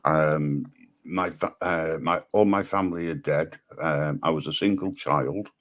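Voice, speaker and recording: Deep-voiced male host, halting, restart-heavy delivery, very low register. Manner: hesitant, many ums and restarts. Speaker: livestream host. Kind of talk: casual livestream chat.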